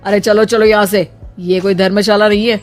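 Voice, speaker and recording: A woman voicing a gruff, dismissive bodyguard in a cartoon story. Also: mid-range voice, level tone, moderate pace, faint music behind, natural voice. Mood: stern. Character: gruff, dismissive bodyguard at a film star's door.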